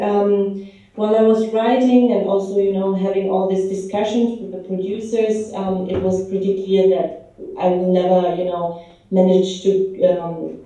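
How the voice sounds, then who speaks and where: A woman's voice: mid-range voice, dark tone. Woman in an echoey room, far off. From Romania. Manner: hesitant, many ums and restarts. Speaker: film director. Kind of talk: interview answers. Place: pub. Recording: echoey pub room, voice from far off, dull sound.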